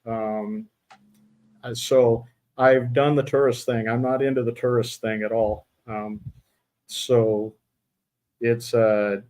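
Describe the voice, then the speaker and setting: Middle-aged male host, mid-pitched, chatty but stumbling, many restarts. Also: level tone, a little mellow. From Utah. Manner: halting, many fillers and restarts. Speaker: podcast host. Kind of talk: casual interview chat.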